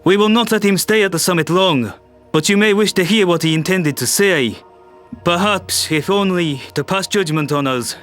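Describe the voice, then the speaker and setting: A man's voice: high-pitched, normal speed, fairly bright tone, faint music behind. A man playing a harried human world leader, tense and exasperated.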